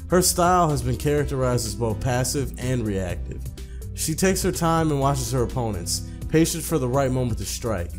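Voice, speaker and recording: Man narrating a game over music. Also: mid-range voice. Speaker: let's player narrating a visual novel. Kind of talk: casual commentary and reading game text aloud.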